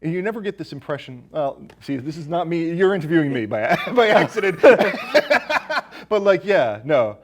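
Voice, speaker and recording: A man's voice: a little high, sing-song, moderate pace. A man hosting a podcast, chatting casually about movies with jokey, teasing banter. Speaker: podcast host.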